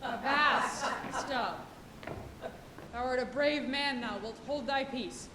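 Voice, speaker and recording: A woman playing a sober, mournful first mate on a whaling ship, speaking solemnly and with measured gravity on stage. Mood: stern. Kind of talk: theatrical stage acting of a literary tragedy. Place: stage of a college auditorium. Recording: echoey auditorium, clear rumbling noise.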